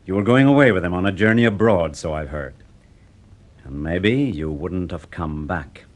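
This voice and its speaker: A man playing a steady, reassuring police inspector in a vintage radio drama, soothing and methodical. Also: low-pitched, moderate pace.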